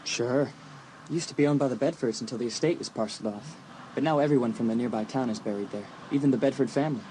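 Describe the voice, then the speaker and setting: A man's voice: mid-range voice, quick pace, mellow, close to an everyday voice, rough recording. An actor playing a confident, teasing, sporty teenage boy with a romantic streak in a dramatised audiobook. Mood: matter-of-fact. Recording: audiobook tape with faint noise.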